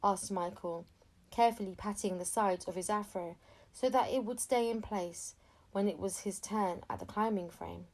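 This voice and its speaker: A woman's voice: medium pitch, normal speed, darkish tone, low-quality recording. A woman reading a children's story aloud as an audiobook narrator, expressively and acting out the dialogue. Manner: acts out dialogue between narration. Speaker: audiobook reader.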